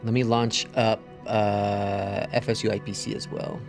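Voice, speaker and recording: A man's voice: fairly low pitch, little pitch movement, a little slow, creaky, over music. A man with a Midwestern accent who streams a flight simulator, chatting casually and muttering to himself as he works the controls, with some mild swearing. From Upper Midwest (Minnesota/North Dakota). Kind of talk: casual live-stream commentary.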